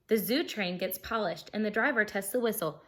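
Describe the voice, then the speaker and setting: A woman's voice: medium pitch, brisk. A woman teacher reading a children's picture book aloud expressively, explaining things simply and putting questions to her young listeners.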